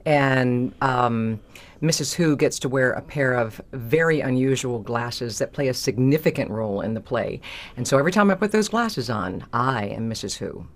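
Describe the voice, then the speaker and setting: A woman's voice: very deep voice, expressive, normal speed. A woman stage actor talking in a casual interview, explaining things in a thoughtful, enthusiastic way.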